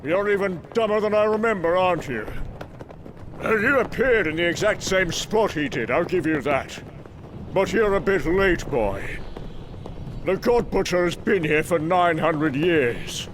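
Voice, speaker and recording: A man's voice: high-pitched, expressive, unhurried, background noise. A man playing a boisterous, blunt warrior god in a comic-book drama, with a theatrical, mocking delivery. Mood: scornful, grave. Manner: theatrical, blustering.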